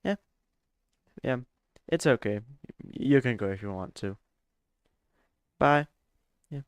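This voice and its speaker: A man's voice: mid-range voice, expressive, slow pace, clear, resonant tone, no echo. A man from Denmark, chatting hesitantly with many fillers while streaming a flight simulator. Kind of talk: live stream gameplay chat.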